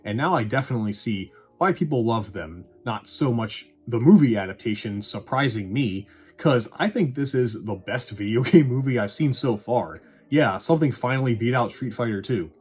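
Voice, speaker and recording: Male video reviewer, low-pitched and husky; casual, irreverent, sweary commentary over background music. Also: darkish tone.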